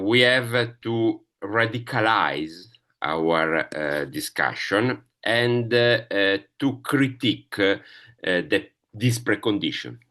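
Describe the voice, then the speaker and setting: A man with a very low voice and an Italian accent speaks slowly on a discussion panel. He talks in halting English, pausing often and filling the gaps while he searches for the next word. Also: a bit bright. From Italy. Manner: halting, many fillers, searching for words. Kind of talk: panel talk.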